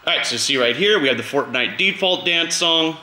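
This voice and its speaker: A man's voice: on the high side, expressive, brisk, echoey room, heavy background noise. A man hosting a casual step-by-step tutorial in an informal, conversational way. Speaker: video host giving a drone tutorial.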